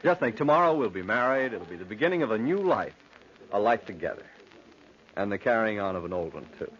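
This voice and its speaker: An actor playing an earnest young groom-to-be in an old-time radio drama, soothing and romantic in a melodramatic manner. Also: male voice, on the low side, big pitch swings, a little fast, fairly bright tone. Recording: muffled, noisy old radio recording.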